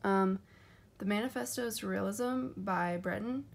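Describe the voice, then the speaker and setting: A young woman presents her class project in a gravelly voice with plenty of vocal fry. Her pitch sits in the middle. She hesitates and hedges as she goes, filling gaps with ums. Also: normal speed. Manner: hesitant, many ums and hedging words. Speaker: student presenter.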